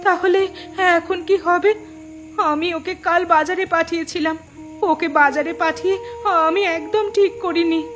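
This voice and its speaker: A woman's voice: extremely high pitch, fairly even intonation, a little slow, fairly bright tone. A woman playing an anxious, lamenting village mother in a melodramatic cartoon. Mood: despairing. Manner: fretful, lamenting.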